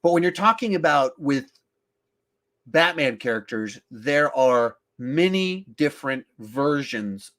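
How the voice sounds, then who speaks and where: A man with a medium-pitched voice hosts a casual live film podcast. He reads viewer questions aloud and answers conversationally. His delivery is emphatic, and he often works out his thoughts as he speaks. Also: expressive, slow pace, a bit bright. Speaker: podcast host.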